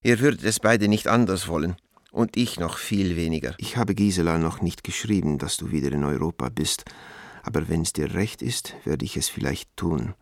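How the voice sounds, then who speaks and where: Affectionate middle-aged man, deep bass register, literary letter monologue, tender, reflective, radio play, close dry sound. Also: normal speed, no room sound. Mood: gentle, consoling. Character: affectionate, loyal middle-aged man writing to an old friend.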